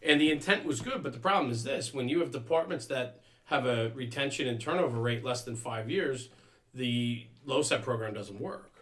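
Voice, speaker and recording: An American man hosts a relaxed discussion with a bright, forceful voice at a medium pitch. He explains things in a roundabout way, returning to the point he is making. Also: moderate pace. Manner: explanatory, circles back to his point. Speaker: podcast host. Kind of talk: casual interview chat.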